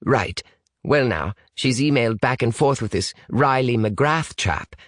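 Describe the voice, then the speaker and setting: A man's voice: medium pitch, lively intonation, a little slow, fairly bright tone, no room sound, studio quality, voice pushed up. A man voicing a stiff, formal young British man with precise wording, anxious beneath it, in an audiobook story. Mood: dry, unimpressed.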